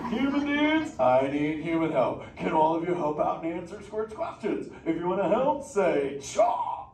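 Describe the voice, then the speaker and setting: Excitable high-pitched surfer dude sea turtle. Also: male voice, measured pace, heavily acted. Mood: goofy, upbeat. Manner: drawling surfer slang, goofy and excitable. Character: laid-back surfer dude sea turtle, exuberant adult male. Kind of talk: live improvised theme park show banter. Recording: echoey theme park theater, distant, noisy.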